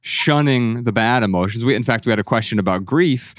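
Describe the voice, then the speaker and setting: A man's voice: medium pitch, brisk, no echo, studio quality. A man hosting a podcast, talking in an earnest, reflective way and sharing anecdotes. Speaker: podcast host. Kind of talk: casual podcast conversation.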